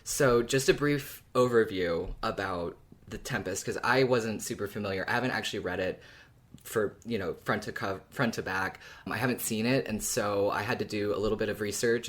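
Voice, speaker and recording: A podcast co-host talking casually and thoughtfully, explaining ideas in a measured, informal way. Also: medium pitch. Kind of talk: casual fan discussion, explanatory.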